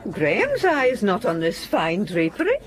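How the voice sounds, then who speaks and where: Genteel english mum, middle-aged, period drama, very lively swoopy delivery, proud n teasing. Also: female voice, mid-range voice, a little slow, a faint crowd. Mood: delighted. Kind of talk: period radio drama acting.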